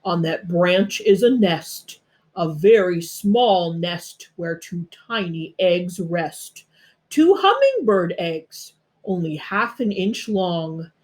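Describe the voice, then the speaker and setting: A woman voicing a big boastful male bear in a storybook, bragging slowly and emphatically with a bit of growl. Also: lowish voice, sing-song, darkish tone. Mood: proud, drawn-out.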